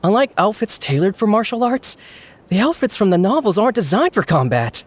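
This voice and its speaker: An earnest male official from an anime game. His fairly high voice is formal and conscientious, moving in animated swings, with faint noise behind it. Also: brisk. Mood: concerned, deferential. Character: earnest, dutiful male event organizer.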